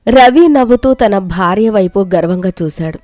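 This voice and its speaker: A woman telling a moral storybook tale in steady, scripted narration. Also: medium pitch, moderate pace, no echo.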